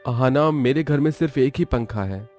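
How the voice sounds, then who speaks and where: A man's voice: medium pitch, normal speed, darkish tone, close to an everyday voice. Earnest young husband played by a man for cartoon family drama, mid-range voice, pleading tone, faint music. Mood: defensive.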